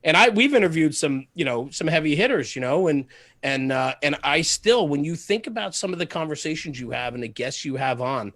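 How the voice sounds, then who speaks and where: A man with a slightly higher-than-average voice talks casually as an interview guest. He is earnest and emphatic, often repeating phrases for stress. The sound is fairly dry and close. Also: expressive, a little fast, a bit bright, no echo. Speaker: podcast guest, fire service media host. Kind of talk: casual podcast interview, passionate monologue.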